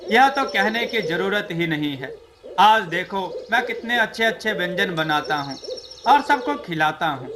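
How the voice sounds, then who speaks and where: A man's voice: high-pitched, expressive, measured pace, crowd noise. A man voicing a cheerful, eager storybook prince who loves to cook. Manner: eager, happy. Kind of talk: storybook character dialogue.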